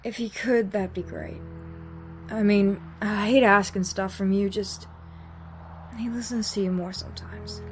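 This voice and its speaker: A woman's voice: mid-range voice, steady tone, measured pace, natural voice. A woman plays a female survivor in an apocalypse audio drama. She has a mid-range voice and a blunt, sardonic, casual way of talking, with music behind her. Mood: wry, guarded. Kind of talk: dramatic audio acting, naturalistic dialogue.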